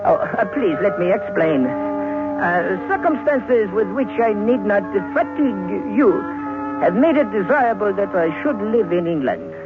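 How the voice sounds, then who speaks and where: An actor playing a sinister, mincingly polite murderer doctor who gloats hesitantly, in old radio horror style. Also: male voice, high voice, sing-song, a little slow, pitched above the performer's own voice. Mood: polite, confiding. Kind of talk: 1940s radio horror acting. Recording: tinny vintage radio recording, music behind.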